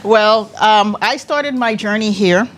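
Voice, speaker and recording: A woman's voice: mid-range voice, slow pace, a little hiss or hum, poor audio. A woman in her fifties, born in Cuba, a pageant director telling her life story as an interview guest, laughing often and restarting her sentences. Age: 50s. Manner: lively, laughs often, talks with many restarts.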